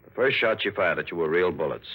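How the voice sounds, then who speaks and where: An actor playing a steady, authoritative police captain, matter-of-fact and explanatory, in a 1940s radio drama. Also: male voice, low voice, fast talker, slightly rough, full character voice, rough recording. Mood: explaining.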